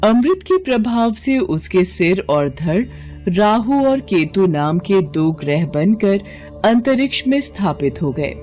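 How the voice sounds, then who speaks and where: Casting: female storyteller for scripted bedtime myths, mellow tone, slow and animated. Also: fairly low pitch. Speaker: storyteller, narrator.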